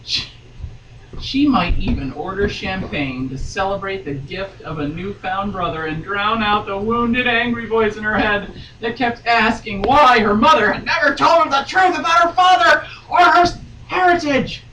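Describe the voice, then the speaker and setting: A woman's voice: medium pitch, moderate pace, sharp tone. A woman reading a story aloud in a wry, theatrical way, breaking off for mocking asides. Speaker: podcast guest reading a novel aloud. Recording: roomy, distant mic, faint noise.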